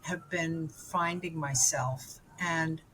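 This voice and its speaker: A woman's voice: low-pitched, steady tone, slow, a bit bright, a little hiss or hum, low-quality recording. A woman in her seventies, from New York, telling her own story as an interview guest in a candid, rambling way with lots of fillers and restarts. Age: 70s.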